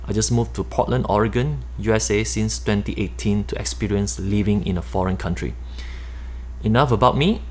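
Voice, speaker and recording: A man's voice: on the low side, moderate pace, slight background noise. Malaysian man reading a money audiobook. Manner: steady, explanatory read-aloud delivery. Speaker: author narrating his own book. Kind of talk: nonfiction audiobook narration.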